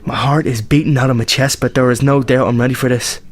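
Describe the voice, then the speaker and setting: A man's voice: medium pitch, steady tone, fast, lightly acted. Earnest Northern Irish rebel volunteer, played by a man. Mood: grave, resolute. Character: earnest, determined young Irish republican volunteer, male. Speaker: actor in a radio play. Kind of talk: radio drama monologue and dialogue.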